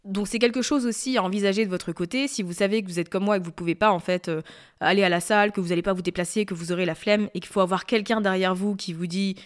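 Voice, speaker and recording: A woman's voice: medium pitch, fairly even intonation, quick pace. A woman in her thirties hosting a solo podcast, talking in a chatty, confiding way that drifts off topic. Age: 30s. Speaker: podcast host. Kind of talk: casual solo podcast talk.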